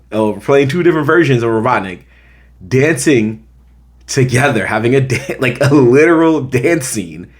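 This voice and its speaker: An American man hosting a film review, talking casually and emphatically, repeating his points to drive them home. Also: mid-range voice. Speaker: film review show host. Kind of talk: casual opinionated movie review to camera.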